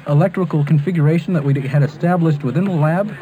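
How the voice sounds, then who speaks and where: A man's voice: a little high, fast, warm tone, slight background noise. A man improvising a prank phone call, hesitant and repetitive, pleading with the person on the line. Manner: hesitant, repetitive, pleading, addressing listener by name. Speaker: prank caller. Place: on a telephone call. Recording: prank call recorded over telephone.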